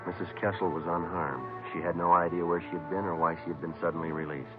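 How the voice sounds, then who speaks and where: An actor playing a terse, matter-of-fact police detective sergeant in a 1950s radio drama. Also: male voice, low voice, steady tone, fast, close to an everyday voice. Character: clipped, businesslike male police sergeant detective. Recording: muffled old radio recording with music.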